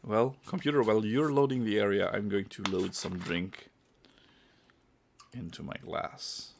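A man with a German accent, a non-native English speaker, giving chatty let's-play commentary and sometimes pausing to search for a word. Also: fairly low pitch, steady tone, a little hiss or hum. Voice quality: gravelly. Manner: chatty, pauses to search for words. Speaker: gameplay streamer.

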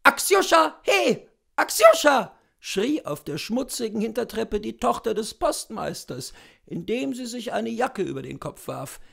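A man in his thirties reading classic fiction aloud in a deliberate, theatrical storytelling style. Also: high-pitched, expressive, vocal fry. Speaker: literary reader. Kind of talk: expressive audiobook narration of classic fiction. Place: small indoor room with bookshelves.

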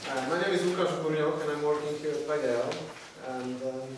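A man in his thirties reads a formal introduction in a halting way. His voice is a little high and comes from a distance in a very echoey room, with clear noise behind it. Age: 30s. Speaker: host introducing a lecturer. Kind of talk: formal introduction, read from notes. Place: small lecture room with seated audience, indoors. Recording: echoey room from afar, noisy background.

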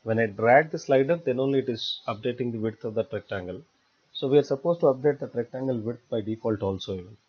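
Dull-sounding man teaching software. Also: medium pitch, fast talker, husky. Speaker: software tutorial instructor. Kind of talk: step-by-step screencast tutorial narration. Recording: dull lo-fi voice-over recording.

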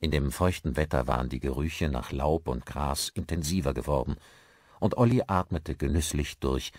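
Low-voiced, animated man narrating an audiobook. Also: gravelly, dry recording, studio quality. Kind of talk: scripted narration of a mystery novel.

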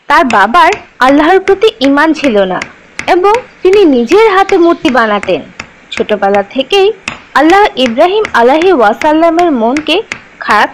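Young cartoon storyteller teaching kids, high voice, really lively, music behind. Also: female voice, slight background noise, poor audio. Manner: expressive, instructive. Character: young storyteller teaching children religious tales. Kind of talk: scripted storytelling for children.

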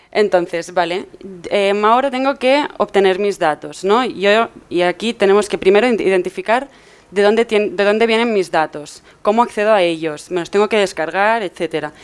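A young woman from explains technical material as a conference speaker. Her voice is smooth and clean, at a middling pitch. She feels her way through phrases and often tags them with a questioning check for agreement. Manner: hesitant, often seeking agreement with tag questions. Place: medium-sized indoor event room with seated audience.

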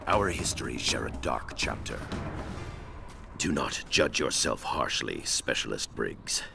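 A stern martial arts master for a cinematic game, with an extremely deep, gravelly voice. He speaks formally and gravely, in clipped, weighty phrases that swing in pitch, over clearly heard music. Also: sing-song, measured pace, bright, forceful tone, heavily acted. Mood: grave, admonishing. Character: stern, measured male ninja grandmaster testing young fighters. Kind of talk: cinematic video game acting.

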